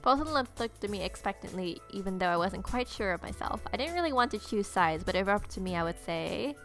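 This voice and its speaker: Young female game player, brisk pace, mid pitch, narrates story text with casual asides over light music. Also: expressive. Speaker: game player reading aloud. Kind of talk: read-aloud visual novel narration with casual asides. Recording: music playing behind.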